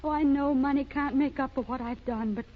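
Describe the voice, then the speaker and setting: Woman acting anxious high-voiced heroine, old radio. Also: steady tone, fairly quick, natural voice. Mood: remorseful. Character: earnest, anxious young woman burdened by guilt. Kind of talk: 1930s radio melodrama acting. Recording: muffled, noisy 1930s radio recording.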